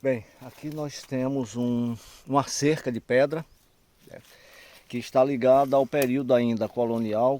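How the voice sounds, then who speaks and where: A man's voice: mid-range voice, warm tone. A man with a northeastern Brazilian accent gives an unscripted, explanatory tour outdoors as a local guide, speaking didactically with frequent check-in tags. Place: outdoors in open rural land. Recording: clearly noisy outdoor field recording with clicks.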